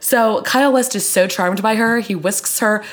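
A woman's voice: mid-range voice, moderate pace. A woman co-hosting a podcast, talking through her opinions on television in a casual, conversational way. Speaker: podcast co-host. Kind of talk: casual TV review chat.

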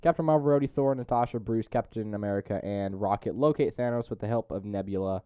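Young guy w a low mellow voice joking around on a movie podcast, slangy. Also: fast talker, clean tone, no room sound, muffled recording. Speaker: podcast host. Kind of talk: casual movie review chat.

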